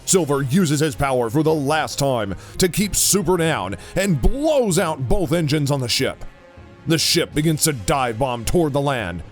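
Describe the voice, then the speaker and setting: A man's voice: a little high, very animated, a little slow, faint music behind. A man reading scripted narration for an audio drama.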